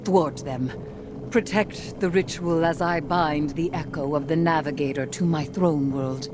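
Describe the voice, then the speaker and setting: A woman playing a grim, vengeful sorceress queen in a video game, speaking in a solemn, deliberate, imperious way. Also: sits low, fairly even intonation, noisy background. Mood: commanding, menacing. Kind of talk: dramatic video game cutscene acting. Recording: loud music under the voice.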